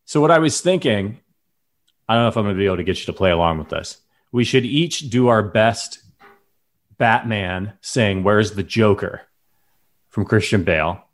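A man co-hosting a podcast in casual, playful chat, often laughing. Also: on the low side. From lives in Chicago. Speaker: podcast co-host.

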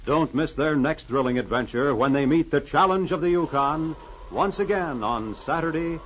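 A man's voice: fairly high pitch, moderate pace, fairly bright tone, slight background noise. A man narrating as a radio announcer in a formal, theatrical 1940s drama style. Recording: muffled old radio broadcast. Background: music.

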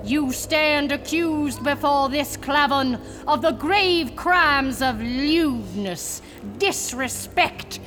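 Woman playing a slow, high-voiced, commanding cult priestess. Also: a bit bright, soft background music. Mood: stern, ceremonial. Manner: solemn, incantatory, declamatory. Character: cold, commanding female cult leader presiding over sacrifice. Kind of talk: ceremonial radio drama acting.

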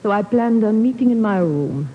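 A woman's voice: medium pitch, lively intonation, normal speed, natural voice. An actress playing a cold, mocking female spy chief in a 1950s radio drama, taunting and imperious. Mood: cold, triumphant. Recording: reverberant, dull old radio recording.

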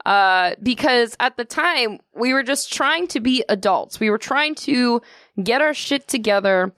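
Low-voiced woman podcast co-host. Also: measured pace, no echo, studio quality. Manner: low, sultry-sounding voice, relaxed and sincere. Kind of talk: casual chat. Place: podcast studio.

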